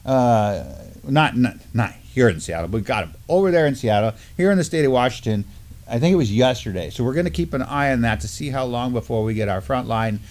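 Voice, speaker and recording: A man's voice: medium pitch, expressive, brisk, a little mellow. A middle-aged American man hosts a news chat with a mid-range voice. He talks informally and with enthusiasm, often circling back over his phrases as he moves between items. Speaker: news show host. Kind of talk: casual news discussion. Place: indoor studio at a table.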